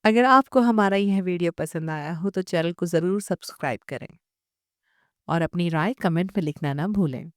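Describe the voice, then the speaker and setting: A woman's voice: fairly low pitch, moderate pace, dry recording, clean studio sound. A woman reading a literary short story as an audiobook narrator in a scripted style.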